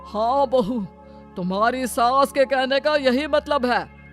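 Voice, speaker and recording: Woman doing cartoon relative, bit high, exclaiming n incredulous, music behind. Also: takes it slowly. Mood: disapproving.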